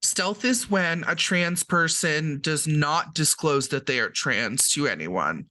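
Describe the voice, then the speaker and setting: A man's voice: a little high, lively intonation, slow pace, sharp tone, no room sound. A man co-hosting a podcast, explaining terms in casual chat with frequent ums and restarts.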